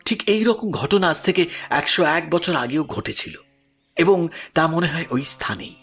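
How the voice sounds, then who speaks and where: An actor playing a composed, learned professor of the occult in an audio horror drama, speaking with assured explanation. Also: male voice, fairly high pitch, animated, unhurried, a bit bright. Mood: serious. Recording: background music under the voice.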